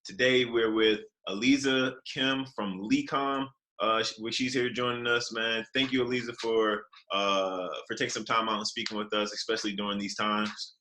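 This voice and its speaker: A man hosts a casual interview over a video call. His voice is low with a bright, forceful edge, and he talks in a laid-back way with friendly slang. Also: little pitch movement, moderate pace, few pauses, a little hiss or hum. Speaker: podcast host.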